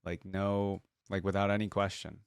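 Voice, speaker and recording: Low-voiced young man reacting casually. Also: little pitch movement, moderate pace, clear, resonant tone, dry recording, clean studio sound. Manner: informal, musing aloud. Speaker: reaction video host.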